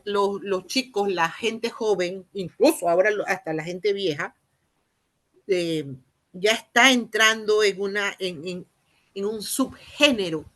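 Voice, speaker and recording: A woman with a Panamanian Spanish accent giving an explanatory lecture, haltingly, repeating and rephrasing phrases and posing rhetorical questions. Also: medium pitch, fairly bright tone. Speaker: university lecturer in teacher training.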